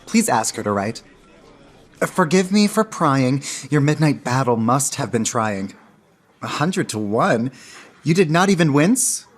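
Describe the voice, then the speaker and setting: A man playing an earnest, romantic young gentleman in love, in a radio verse drama. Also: medium pitch, very animated, a little slow. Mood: admiring. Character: lovestruck earnest young gentleman confiding in a friend. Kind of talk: radio theatre acting in verse.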